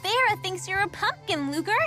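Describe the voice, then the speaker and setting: A woman's voice: extremely high pitch, expressive, moderate pace, bright, forceful tone, heavily acted. An actress playing a perky, earnest, youthful girl in a video game. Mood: surprised, playful. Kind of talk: animated video game voice acting. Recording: studio voice with music behind.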